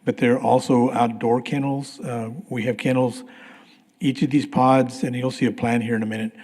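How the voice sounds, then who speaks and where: Flat-voiced man, hoarse, roomy hall. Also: medium pitch. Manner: plain, halting, many restarts and fillers. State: losing his voice, clears throat. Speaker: construction manager. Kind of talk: public meeting presentation. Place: council meeting room. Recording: livestream from a reverberant meeting room.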